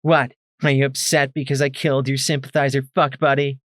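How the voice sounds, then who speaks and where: A man's voice: mid-range voice, level tone, a little fast, fairly bright tone, no room sound, studio quality, pitched above the performer's own voice, natural voice. A man shrieking as a vicious young mare soldier. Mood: sneering. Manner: screaming, swearing, sneering. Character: furious young female pony soldier, vicious.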